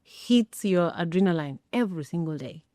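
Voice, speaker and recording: A middle-aged woman telling a personal story as an interview guest, speaking emphatically with pauses for effect. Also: lowish voice, expressive, warm tone, dry recording, studio quality. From Kenya. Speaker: interview guest, former air traffic controller.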